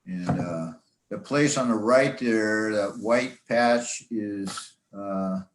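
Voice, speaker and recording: A man giving an informal club talk about his hobby in a rambling, halting, folksy way. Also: on the low side. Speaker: presenter, amateur radio hobbyist. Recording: echoey room sound.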